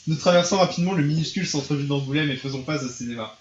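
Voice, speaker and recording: A man's voice: a little high, rapid-fire. A man streaming a visual novel, reading the text aloud and mixing in casual reactions, sometimes stumbling over words. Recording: roomy, dull sound with music behind.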